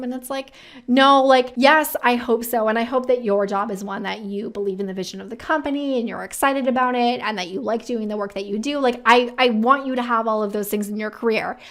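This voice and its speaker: Woman, slightly high voice, casual video host, informal slangy phrasing, opinionated chatty commentary. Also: brisk. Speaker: career advice video host.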